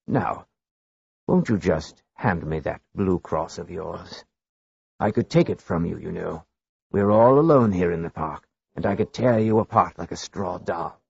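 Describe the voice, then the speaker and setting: A man acts out a huge thief in a storybook who speaks slowly and calmly, which makes his threats all the colder. The voice sits low and gravelly, with a raspy, breathy grain. The sound is dull and dry. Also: no room sound, full character voice. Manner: slow, calm, threatening. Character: large calm menacing male thief.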